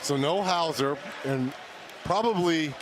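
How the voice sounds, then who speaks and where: A man's voice: on the high side, takes it slowly, crowd noise, background noise. A man giving emphatic, opinionated color commentary and analysis on a live basketball broadcast. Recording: broadcast feed under loud music.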